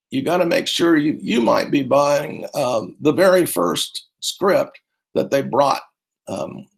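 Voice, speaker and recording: A man's voice: on the high side, vocal fry, slightly rough, low-quality recording. A middle-aged man, a speech and debate coach, giving a rambling conference talk full of fillers and restarts.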